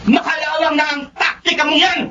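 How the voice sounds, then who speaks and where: An actor playing a menacing, mocking debt-collecting thug, forceful and taunting, in a Filipino radio drama. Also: male voice, very high voice, flat delivery, fairly quick. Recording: distant lo-fi with loud noise.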